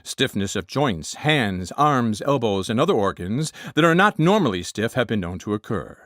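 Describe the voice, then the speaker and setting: A man playing a matter-of-fact announcer in a parody pharmaceutical commercial, reading lists of warnings in deadpan. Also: medium pitch, normal speed, no room sound, studio quality. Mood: deadpan, official. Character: deadpan drug-commercial announcer reading absurd side effects.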